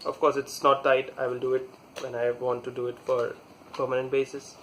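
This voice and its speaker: A man talking casually through a hands-on product installation, halting and pausing mid-sentence as he works. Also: mid-range voice, monotone, a bit bright, a little hiss or hum. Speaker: product reviewer demonstrating installation. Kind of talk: casual hands-on tutorial talk.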